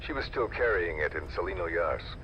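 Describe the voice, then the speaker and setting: Shady schemer for a game, deep voice, brooding n probing, noisy cassette sound. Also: male voice, lively intonation, muffled recording. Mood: insinuating. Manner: deliberate, probing. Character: shadowy scheming male operative, brooding and insinuating. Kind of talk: video game audio drama dialogue. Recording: noisy cassette tape recording.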